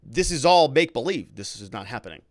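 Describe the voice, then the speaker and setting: Animated male legal commentator, lively pitch swings. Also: fast talker, no echo, clean studio sound. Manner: animated, emphatic delivery with informal asides. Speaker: legal commentator and show host. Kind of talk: news commentary, reading a report aloud and paraphrasing it.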